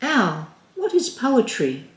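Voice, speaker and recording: Curious small boy for a storybook, high and eager, voiced by a woman, roomy echo. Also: wildly swooping pitch, takes it slowly, a little hiss or hum, exaggerated voice.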